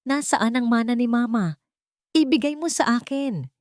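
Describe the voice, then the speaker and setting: A woman's voice: on the high side, lively intonation, normal speed, no room sound, clean studio sound, pitched above the performer's own voice, lightly acted. A woman voicing an angry, greedy, drunken husband in a dramatic story, demanding and desperate.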